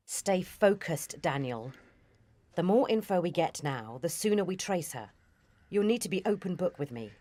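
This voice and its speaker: Senior intelligence officer, radio drama, female actor, British. Deep female register, composed, formal, businesslike authority. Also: lively intonation, normal speed, mellow, no echo. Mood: firm, controlled. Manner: controlled, formal, firm.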